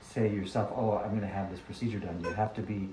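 A man working as an ear, nose and throat doctor, explaining a procedure to a patient in a measured, instructive way. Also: lowish voice, steady tone. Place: small clinic exam room, indoors. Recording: roomy clinic room with background noise.

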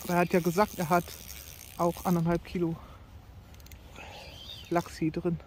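A man's voice: high-pitched, completely flat delivery, a little slow. A man chatting casually as a companion, giving brief agreeing replies from away from the microphone. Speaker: fishing companion. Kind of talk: casual chat. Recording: off-mic with faint ambient noise.

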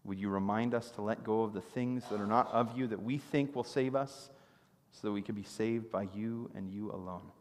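A man in his thirties preaching a sermon from a church stage, telling stories with animated gestures. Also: fairly low pitch, normal speed. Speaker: pastor. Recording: long reverb of a church hall.

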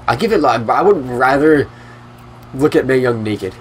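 A man's voice: mid-range voice, expressive, normal speed, slight background noise. A young man hosting a gaming video, playing casually with a friend, sarcastic and laughing while trash-talking the game. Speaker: gaming channel host. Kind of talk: casual let's play banter.

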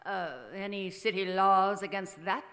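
A woman's voice: mid-range voice, level tone, measured pace, a bit bright. A woman playing a vain, imperious faded silent-film diva in a radio drama, with grand, theatrical delivery. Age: middle-aged. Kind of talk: old-time radio drama acting. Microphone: stand microphone on stage. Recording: reverberant theater stage recording.

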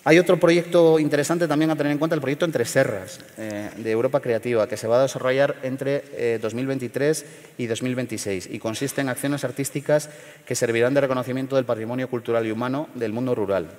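A middle-aged man from León in Spain, speaking at a panel forum in a measured, hesitant way with many fillers and restarts. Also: mid-range voice, steady tone, a little mellow, long reverb tail. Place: large theater stage.

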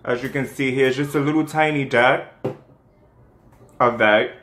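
A man's voice: mid-range voice, fairly even intonation, moderate pace, a bit bright, reverberant, slight background noise. A young man with a New York accent, rambling through a casual skincare how-to with lots of fillers. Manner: rambling, chatty, many fillers and restarts. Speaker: skincare vlogger.

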